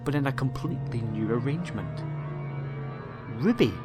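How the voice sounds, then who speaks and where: A man's voice: medium pitch, animated, normal speed. A man narrating a scripted audiobook story in a dramatic storytelling style. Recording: music behind the voice.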